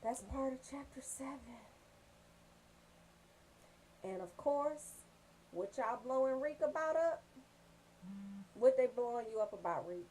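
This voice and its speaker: An American woman hosting a comedic radio show, telling stories in an animated, folksy, profane way. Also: sits high, unhurried, long pauses, slight background noise, poor audio. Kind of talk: comedic radio banter and storytelling.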